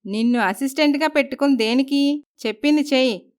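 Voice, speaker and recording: A woman's voice: sits high, a little fast, voice pushed up, lightly acted. A woman voicing an arrogant, commanding young businesswoman who scolds people in a moral story. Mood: bossy. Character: arrogant, bossy young businesswoman who bullies her husband. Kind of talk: dramatised moral story voice acting.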